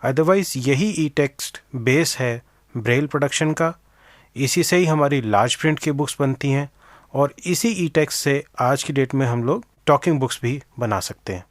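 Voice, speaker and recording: Indian male interview guest, mid-pitched, methodical explainer who code-mixes English, close dry recording. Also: normal speed, no room sound. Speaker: interview guest, organisation director.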